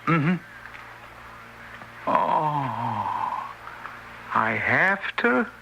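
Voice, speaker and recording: A man's voice: a little high, big pitch swings, extremely slow, gravelly, sharp tone, heavily acted. A man playing a meek, forgetful ghost in a 1970s radio play, speaking in a hesitant, stammering way. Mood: flustered, meek. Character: timid, forgetful male ghost on an errand to fetch souls. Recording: old noisy radio recording.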